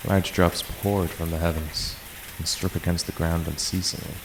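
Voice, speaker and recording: A man's voice: deep voice, level tone, normal speed, background noise. A man playing a weary, aloof math teacher, narrating and talking in a dry, resigned way for a visual novel fandub. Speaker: narrator and lead character. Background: music and rain sounds.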